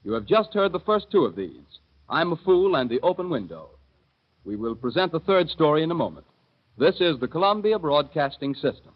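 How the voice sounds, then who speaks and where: Male announcer, formal crisp delivery, fairly high voice, old 1930s radio recording. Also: sing-song, moderate pace, dull audio. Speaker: network announcer.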